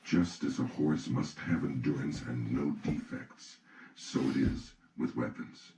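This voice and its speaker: A man reading an audiobook from a script in a solemn, deliberate, instructional way. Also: very deep voice, gravelly. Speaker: audiobook reader. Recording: roomy, distant mic, faint noise.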